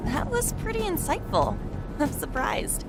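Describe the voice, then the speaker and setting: A woman playing a lively, teasing teenage girl in a video game dub, very expressive. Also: very high-pitched, a little slow, over loud music, heavily acted, poor audio.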